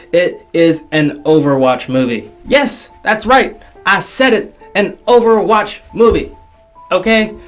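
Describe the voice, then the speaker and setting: High-pitched man, emphatic countdown host. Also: a bit bright. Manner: emphatic, repeats phrases for effect. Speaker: host of a video game movie countdown show. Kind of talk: casual, jokey video commentary. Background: faint background music.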